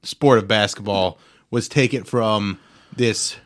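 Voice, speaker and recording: A man's voice: on the low side, takes it slowly. A man hosting a podcast in casual chat, talking informally like an enthusiastic sports fan. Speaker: podcast host.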